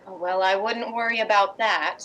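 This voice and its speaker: A woman's voice: mid-range voice, steady tone, a bit bright, slight background noise, lightly acted, rough recording. Woman playing a poised, wry society wife. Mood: reassuring. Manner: wry, measured storytelling. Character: poised, worldly, reassuring playwright's wife, narrating. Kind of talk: 1950s radio drama acting and narration.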